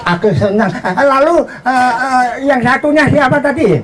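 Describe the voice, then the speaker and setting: A performer acting out a kindly old grandfather from a children's tale. The speech is slow and hesitant, with lively rises and falls and small exclamations, over faint noise. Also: high voice. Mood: curious, pleased. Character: sad, gentle old grandfather farm owner. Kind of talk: live children's storytelling.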